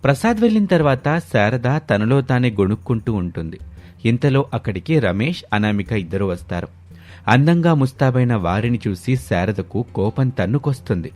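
A man's voice: mid-range voice, animated, moderate pace, darkish tone. A man with a Telugu accent narrating a scripted moral story.

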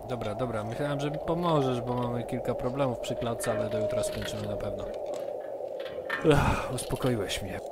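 A man's voice: medium pitch, moderate pace, close to an everyday voice. A man doing a dry, teasing settlement worker. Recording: loud background noise.

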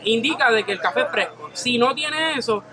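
A young man working as a barista, calmly explaining coffee in a small coffee shop. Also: extremely high pitch, brisk, a crowd in the background, poor audio. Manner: calm, explanatory, informative. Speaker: professional barista. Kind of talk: casual explanation.

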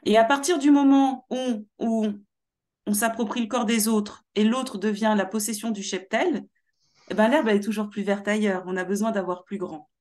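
A young woman from Paris with Martinican roots, speaking as a guest in an animated interview over a video call. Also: mid-range voice, normal speed. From Martinique heritage, born in Paris. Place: small room at home.

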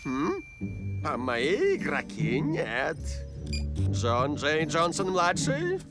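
A scheming older cartoon villain. His voice sits fairly high and swings widely in pitch. He gloats theatrically, with prominent music behind him. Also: huge pitch swings, extremely slow, heavily acted. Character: scheming, gleeful older male football villain. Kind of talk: animated cartoon dub acting.

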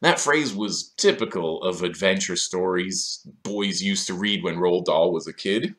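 A man's voice: low-pitched, measured pace, a bit bright. A man in his thirties delivering scripted video essay commentary in a sardonic, questioning manner, recorded in a small room.

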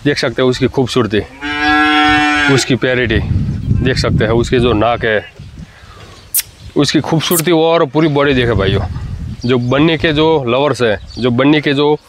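A man hosting a farm tour, talking at a middle pitch with eager questions and soft repeated murmurs of agreement. He is recorded outdoors on a dairy farm with a faint background hiss. Animals can be heard around him. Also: normal speed, long pauses. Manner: eager, repeats "ji ji ji" softly while listening. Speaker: farm video host. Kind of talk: on-site interview about dairy animals.

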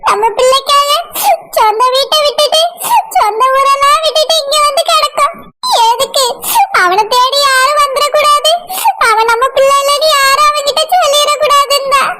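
A woman voicing an anxious, tearful mother in a cartoon family melodrama, pleading haltingly. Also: extremely high pitch, steady tone, bright, forceful tone, a little hiss or hum. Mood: tearful worry. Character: anxious, tearful adoptive mother fearing losing her son.

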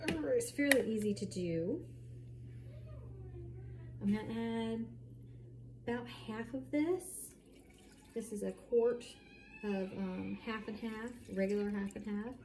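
A woman with a medium-pitched voice talks casually through her home cooking, adding chatty explanations as she goes. She is recorded on a camera mic a short distance away in a kitchen, with clear noise behind her. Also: dark tone. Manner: chatty, explanatory asides while working. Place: home kitchen, indoors at night.

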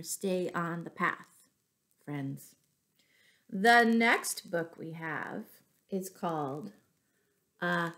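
A middle-aged woman reading picture books aloud expressively to young children, pausing to explain words. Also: fairly low pitch, bright, forceful tone. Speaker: children's storyteller and teacher. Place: small room at home, indoors.

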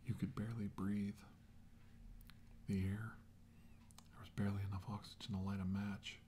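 A middle-aged man playing a weary, shaken doctor in a halting, brooding ASMR monologue that often drops toward a whisper. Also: on the low side, flat delivery, dark tone, slight background noise, lightly acted. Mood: somber, brooding. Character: weary, shaken male doctor recounting a battle with aliens. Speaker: performer of ASMR roleplay. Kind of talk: slow ASMR roleplay monologue, soft and partly whispered.

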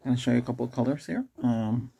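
A middle-aged man doing a soft-spoken ASMR doctor roleplay, murmuring unhurried questions close to the mic in a creaky, breathy voice. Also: medium pitch, background noise. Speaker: ASMR performer playing an ER doctor examining a patient. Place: small indoor setup with cloth backdrop.

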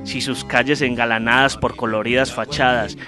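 A man's voice: mid-range voice, steady tone, moderate pace, over music. A man with an Antioquian Colombian accent hosting a travel show in an effusive, promotional way. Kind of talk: scripted travel narration and on-location chat.

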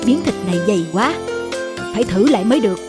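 A woman's voice: mid-range voice, expressive, fast talker, loud music behind the voice, lightly acted. A woman voicing a heavyset male restaurant owner in a cartoon story. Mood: curious, pleased.

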